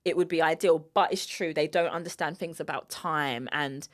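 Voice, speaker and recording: Candid London woman, interview guest, slightly low voice, relaxed and unhurried. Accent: London English. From East London. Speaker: guest, filmmaker and single mother. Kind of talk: casual conversational interview.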